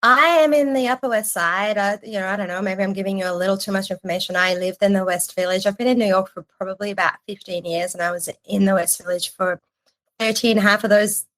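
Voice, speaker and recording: A woman in her forties, from Iran, telling her story as a podcast guest, lively and quick to restart her sentences. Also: mid-range voice, poor audio. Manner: animated storytelling with restarts and casual swearing. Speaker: podcast guest, agency creative chief. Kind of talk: casual interview chat.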